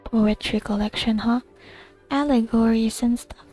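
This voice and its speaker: A woman's voice: a little high, steady tone, unhurried, dark tone, soft background music. A woman playing a video game and giving casual commentary to herself as she goes. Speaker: video game player recording a playthrough.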